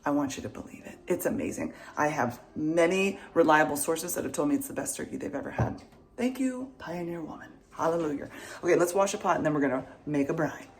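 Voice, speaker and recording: A young woman with a husky morning voice, chatting playfully to viewers in a cook-along vlog from her kitchen. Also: deep voice, lively intonation, brisk. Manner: bubbly and playful, talks to viewers while cooking. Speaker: cooking vlogger. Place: home kitchen. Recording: echoey kitchen.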